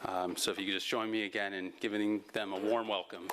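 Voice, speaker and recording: A man's voice: fairly low pitch, little pitch movement, brisk, noisy background. A man speaking as host, making informal announcements to a community gathering and introducing speakers.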